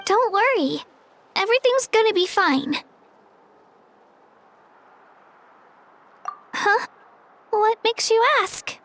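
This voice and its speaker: A woman playing a gentle, earnest and slightly hesitant young girl in a video game. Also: very high voice, expressive, a little slow, slight background noise, exaggerated voice. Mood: reassuring. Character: young girl, earnest, unsure of herself, polite.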